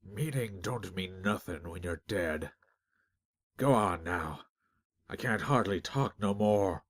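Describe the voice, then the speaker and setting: A man voicing a dying old male shopkeeper who speaks slowly in a rough, folksy, slurred way, in an audiobook. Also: low voice. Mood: fading. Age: older.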